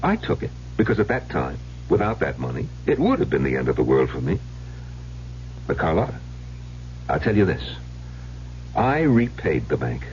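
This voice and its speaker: Deep, dramatic, burdened husband. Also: male voice, wildly swooping pitch, a little mellow. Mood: grave, reminiscing. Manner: measured, confessional, theatrical. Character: educated middle-aged husband, burdened by an old debt. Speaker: actor in a radio drama. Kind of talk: old-time radio drama acting. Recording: dull, noisy old radio recording.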